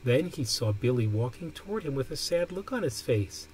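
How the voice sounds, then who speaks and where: A man reading a children's picture book aloud expressively, with deliberate, emphatic phrasing. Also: medium pitch, big pitch swings, darkish tone, breathy edge, low-quality recording. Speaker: storybook reader. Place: indoor room.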